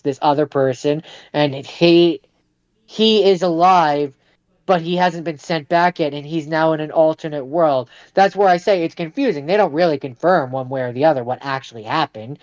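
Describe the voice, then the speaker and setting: A man's voice: on the high side, no room sound. Man explaining films, slightly muffled audio. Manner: explanatory, repeats points. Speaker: podcast co-host. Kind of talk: casual film discussion.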